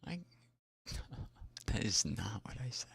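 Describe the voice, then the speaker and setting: A woman whispers in a very breathy, low voice as she hosts an ASMR livestream. Her delivery is very slow, with repeated trigger words and casual asides to her viewers. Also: sing-song. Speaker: livestream host.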